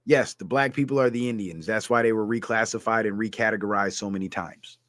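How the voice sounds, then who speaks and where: A man's voice: lowish voice, brisk, a bit bright, dry recording, studio quality. A man from Guyana ranting through a political commentary livestream, heated and blunt, reading viewer comments aloud. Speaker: streamer.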